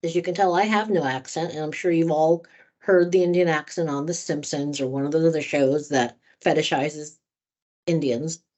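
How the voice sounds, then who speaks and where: A woman's voice: deep voice, fairly even intonation, normal speed, a little mellow. A woman trainer answering questions in a conversational workshop, candid and thoughtful, speaking at length. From United States.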